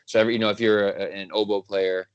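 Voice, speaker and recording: A low-pitched man speaks as the guest on a podcast, chatty and rambling, often laughing and correcting himself. The sound is close and dry, with no room echo. His delivery is loose and conversational. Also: little pitch movement, fast talker, clean tone, lo-fi sound. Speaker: guest composer. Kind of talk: casual interview chat.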